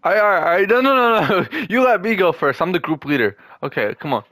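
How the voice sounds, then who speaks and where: A man's voice: high voice, big pitch swings, brisk, sharp tone, dry recording. A young man in casual gaming chat with a friend, joking and trash-talking and often repeating his words. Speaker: gamer.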